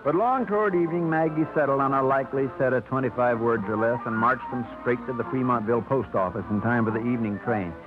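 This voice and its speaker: A man's voice: medium pitch, fast, few pauses. A man narrating a 1950s radio play in a folksy, wry manner, over music on a tinny old broadcast.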